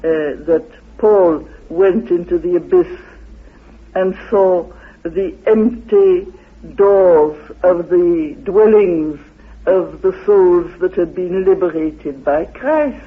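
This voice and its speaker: A man being interviewed, explaining his ideas in a halting, deliberate manner, asking and answering his own questions and reciting quotations. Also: high-pitched, husky. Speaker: interviewee expounding his theory. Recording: phone-like, muffled old radio recording.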